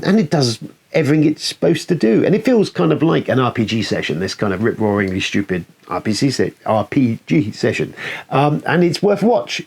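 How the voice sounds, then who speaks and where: A man's voice: medium pitch, a little mellow. A man hosting a casual, chatty film countdown in a rambling, sweary, enthusiastic way. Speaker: host of a board game film review show.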